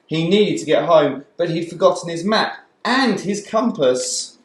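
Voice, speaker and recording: Slow, dramatic male storyteller in echoey room. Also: a little high. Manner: animated, dramatic emphasis. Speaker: storyteller reading a children's book. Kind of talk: expressive children's story reading.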